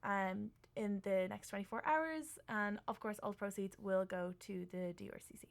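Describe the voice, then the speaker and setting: Young Dublin woman with a gravelly voice. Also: medium pitch, normal speed. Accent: soft south Dublin. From Dublin, Ireland. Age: young adult. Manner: chatty, laughs often, many "yeah"s and restarts. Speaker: student radio presenter. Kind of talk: casual chat.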